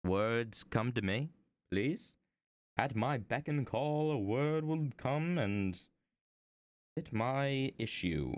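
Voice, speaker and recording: A man streaming from home while he draws, chatting about his desk microphone in a rambling, self-deprecating way, his voice a little hoarse. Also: slow pace, no echo. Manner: rambling, self-deprecating, lively swings in pitch. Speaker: art streamer. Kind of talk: casual stream chat.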